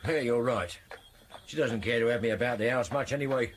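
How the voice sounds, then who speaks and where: A man plays a loyal, down-to-earth Victorian sergeant in a radio play. His British voice is medium in pitch and matter-of-fact, with faint ambience behind it. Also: brisk, a little hiss or hum, close to an everyday voice. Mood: chatty, doubtful. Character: steady, plain-spoken male police sergeant, respectful. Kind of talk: radio drama acting.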